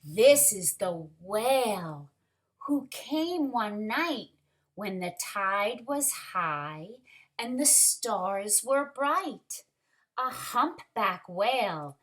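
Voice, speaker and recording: A woman with a bright, forward voice reads a children's story very slowly. She stretches her words expressively and speaks to the children directly, as a storytime teacher would. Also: medium pitch. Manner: expressive, deliberate, drawn-out words with asides to children. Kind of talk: read-aloud storytelling for young children.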